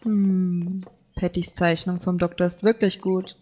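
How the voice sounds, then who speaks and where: Woman voicing a husky, fussy anime teenage boy. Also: lowish voice, level tone, a little slow. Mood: pondering. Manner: earnest, pondering, fussy. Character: obsessive, fussy teenage boy fixated on symmetry. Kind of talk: German fan-dubbed anime audio drama. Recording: dull lo-fi audio with music.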